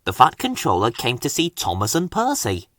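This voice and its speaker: Man reading a storybook with very animated pitch. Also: moderate pace, no echo, studio quality. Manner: animated, expressive read-aloud delivery. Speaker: story reader. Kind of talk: scripted children's storybook narration.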